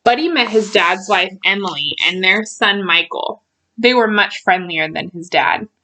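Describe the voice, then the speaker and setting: A woman reading a children's storybook aloud in an expressive way, stretching out key words for emphasis. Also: medium pitch, unhurried, poor audio.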